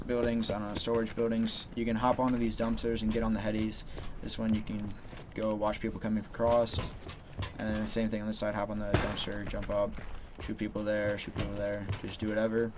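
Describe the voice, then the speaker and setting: A man's voice: lowish voice, noisy background. A man giving rambling, casual gameplay tips, talking loosely with lots of "you know" and "like". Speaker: gaming channel host.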